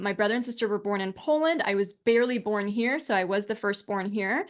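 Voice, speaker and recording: A woman in her thirties gives an online class as a coach, talking in a chatty, upbeat way and often dropping "like" and "right?". Her pitch sits at an ordinary middle height for a woman. She speaks from a small room into a webcam and desk mic on a video call. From United States. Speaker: alcohol-free coach teaching an online class. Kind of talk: casual spoken class presentation over slides.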